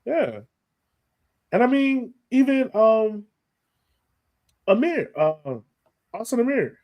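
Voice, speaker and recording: A man's voice: extremely high pitch, animated, slow pace, a little mellow. An American man co-hosting a sports podcast, analyzing a match in a colloquial, emphatic way and repeating points for stress. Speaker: podcast co-host.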